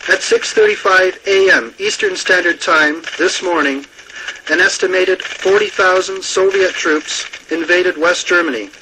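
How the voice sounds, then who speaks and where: A man playing a solemn Defense Department spokesman, making a formal, deliberate official announcement. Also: high voice. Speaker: government spokesman. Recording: faintly noisy radio broadcast.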